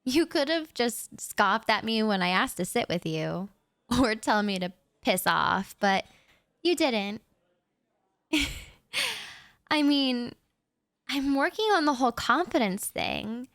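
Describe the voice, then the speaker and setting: Slow, shy young woman rambling softly. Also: a little high, lively intonation, dry recording, clean studio sound. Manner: shy, rambling and soft-spoken with nervous laughs. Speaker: audio roleplay performer. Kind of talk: intimate audio roleplay monologue to the listener.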